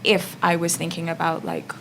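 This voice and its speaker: Female actor in roundtable. Low, fry-laden voice, reflective and hesitant, clear background noise. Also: completely flat delivery, normal speed. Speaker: actress on a panel. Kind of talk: casual roundtable discussion. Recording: noisy live panel room.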